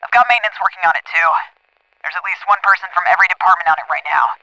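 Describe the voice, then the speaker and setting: A woman playing a brisk manager leaving a message through a radio or phone filter in an audio drama. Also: lowish voice, bright, forceful tone, no echo, muffled recording. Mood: brisk, strained.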